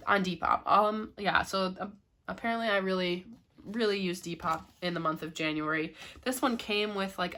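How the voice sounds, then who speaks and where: A woman's voice: on the low side, fairly bright tone. A young woman hosting a casual book haul vlog, with a congested, cracky voice from a cold, chatty and laughing often. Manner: chatty, laughs often, frequent ums and likes. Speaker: booktuber host.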